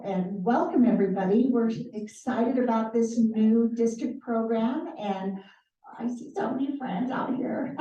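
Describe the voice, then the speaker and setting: A woman's voice: medium pitch, warm tone. A middle-aged woman mayor giving a chatty, upbeat welcome at a community meeting, laughing easily. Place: city hall community room. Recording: echoey room, far from the mic, dull.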